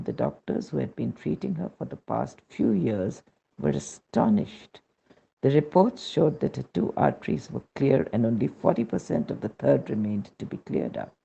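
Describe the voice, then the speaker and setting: Husky, gravelly male narrator. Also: mid-range voice, mellow. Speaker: reader of devotional testimonials. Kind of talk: scripted narration, reading aloud a testimonial. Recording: dull, muffled lo-fi recording.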